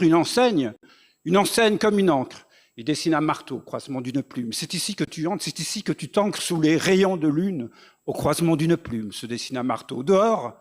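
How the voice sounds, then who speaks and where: A man performing spoken-word slam poetry in a lyrical, rhythmic delivery. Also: fairly high pitch. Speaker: slam poet.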